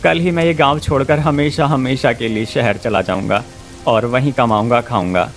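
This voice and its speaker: A man's voice: mid-range voice, normal speed, faint music behind, natural voice. A man voicing an earnest, emotional young village husband in a cartoon story. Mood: heartbroken. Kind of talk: Hindi cartoon story voice acting.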